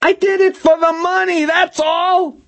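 A man voicing a panicked, cornered villain in an audiobook, screaming frantic high-pitched threats in a West Coast American accent. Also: level tone, a little slow, fairly bright tone, dry recording, full character voice. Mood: pleading, screaming. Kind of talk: audiobook character voice.

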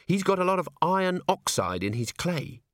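A man's voice: high voice, very animated, moderate pace, no echo, clean studio sound, pitched above the performer's own voice. A man reading an audiobook, voicing a young earnest female dwarf recruit who speaks eagerly and nervously.

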